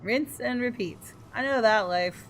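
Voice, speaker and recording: A woman hosting a gaming video, reading aloud and commenting in a casual, expressive way. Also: a little high, measured pace, a little hiss or hum, poor audio. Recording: voice over guitar game music.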